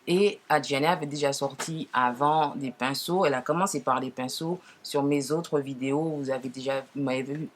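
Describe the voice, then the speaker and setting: A woman with a naturally deep voice, lowered further by a cold, chats casually to viewers as she presents makeup, often correcting herself. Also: normal speed, few pauses, a little hiss or hum. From Martinique. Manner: informal, frequent self-corrections. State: deeper, hoarse-sounding from a cold. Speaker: makeup tutorial host.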